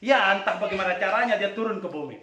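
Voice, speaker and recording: High-pitched, animated male storyteller. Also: fast talker. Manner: dramatic, playful. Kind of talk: animated oral folk storytelling. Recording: echoey room, distant from mic.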